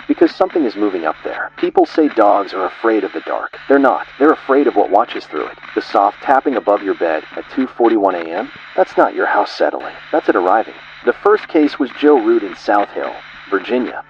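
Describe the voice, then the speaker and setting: A man with a fairly low voice narrates horror in grave, ominous, clipped phrases. His pitch swings widely as he leans on single words. The sound is dull and lo-fi, with a faint hiss behind it. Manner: ominous, grave delivery in short clipped fragments.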